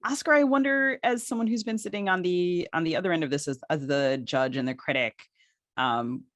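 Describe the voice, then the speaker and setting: A man's voice: mid-range voice, fairly bright tone, no room sound. Close, dry-sounding man asking questions. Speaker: podcast co-host. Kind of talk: thoughtful interview question.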